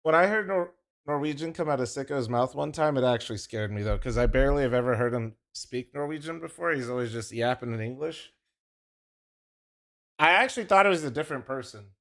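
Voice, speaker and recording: A young man talks to his stream chat with a profane, teasing ramble, and his voice sits at an ordinary pitch. He's casual and loose, stopping and restarting as he fiddles with his setup. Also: animated, fairly quick, a bit bright. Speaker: streamer co-streaming an esports match.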